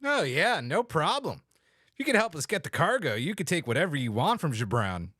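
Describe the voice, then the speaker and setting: A man's voice: a little high, very animated, brisk, pushed and bright, no room sound, clean studio sound, exaggerated voice. A man reading the part of a smug, easygoing male starship commander and ladies' man in a comedic table read.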